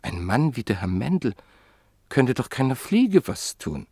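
A man narrating a literary radio drama in a measured storytelling manner. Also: mid-range voice, very animated, warm tone, breathy edge, no room sound, studio quality. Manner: measured storytelling, reporting speech indirectly.

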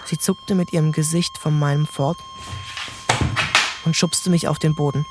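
A man narrating a literary audiobook in a calm, measured storytelling voice. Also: fairly high pitch, over loud music.

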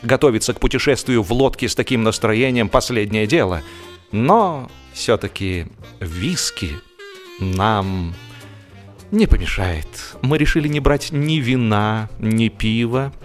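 A man's voice: fairly low pitch, expressive, measured pace, faint music behind. A man reading humorous fiction as an audiobook narrator, with theatrical, wry delivery and varied emphasis.

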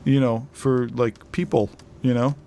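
A man's voice: medium pitch, flat delivery, measured pace, dark tone, slight background noise. A middle-aged man co-hosting a podcast, talking casually and earnestly in a rambling way, often repeating words. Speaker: podcast co-host.

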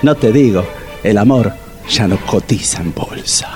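A man's voice: on the low side, animated, a little slow, loud music behind the voice. An actor playing a wisecracking, nervous Argentine man on a date in a comic radio drama, wry and slangy. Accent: Argentine Rioplatense. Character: wisecracking, nervous male bar-date buddy.

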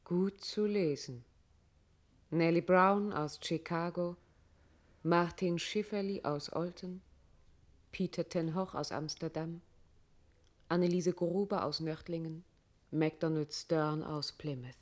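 An actress playing a grave, poetic narrator, reciting literary radio play text in a measured, solemn way. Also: female voice, fairly low pitch, fairly even intonation. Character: grave, poetic female narrator evoking roads and places.

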